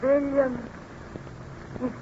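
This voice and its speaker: A gentle baroness, sorrowful and concerned, in an old radio drama. Her delivery is formal and melodramatic. The recording is dull and clearly noisy. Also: high voice, little pitch movement, extremely slow. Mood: grieving.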